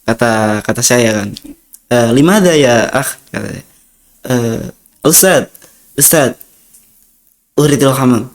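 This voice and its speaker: A man's voice: medium pitch, animated, slow, long pauses. Chatty young Indonesian man. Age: young adult. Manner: rambling with many fillers, acts out dialogue. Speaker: interview guest, student. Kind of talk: casual storytelling interview.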